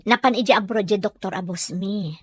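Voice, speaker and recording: A woman with an Ilocano accent playing a gentle, struggling young mother looking for work in a Filipino radio drama. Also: mid-range voice, brisk, husky, dry recording, natural voice. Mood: worried.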